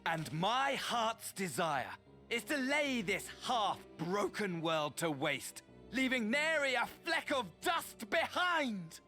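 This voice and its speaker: Nihilistic villain mastermind for a game: grandiose, mocking, unhurried, wildly animated delivery over music. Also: male voice, high-pitched, full character voice. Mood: smug, theatrical. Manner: grandiose showman, swinging from mocking courtesy to mania. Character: theatrical, gleefully nihilistic male villain mastermind, unhinged and mocking. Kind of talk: theatrical video game voice acting. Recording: game audio with music behind.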